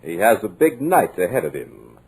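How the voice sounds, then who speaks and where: An actor playing a smooth, commanding radio producer with a sinister edge, in a 1940s radio drama. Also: male voice, fairly low pitch, very animated, normal speed, rough recording. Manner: suave, commanding.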